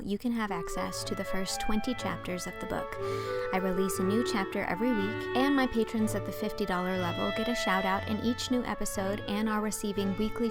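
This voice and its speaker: A woman narrating an audiobook in a scripted storybook reading style. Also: mid-range voice.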